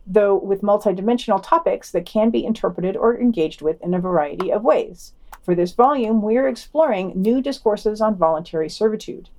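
A woman's voice: mid-range voice, darkish tone. A woman co-hosting a podcast, reading a scripted news round-up and dropping in wry, sardonic asides. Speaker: podcast co-host.

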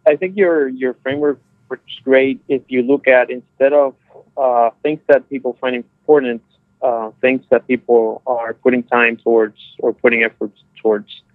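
A man in a casual analytical discussion, speaking in halting, hesitant phrases. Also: medium pitch, steady tone, dry recording, narrow, tinny audio. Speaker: podcast discussion participant.

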